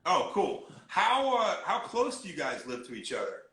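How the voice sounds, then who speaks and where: A man's voice: high voice, huge pitch swings, normal speed, sharp tone, roomy sound. A man hosting a live-streamed interview, steering with short encouraging remarks and guiding questions. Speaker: interview host.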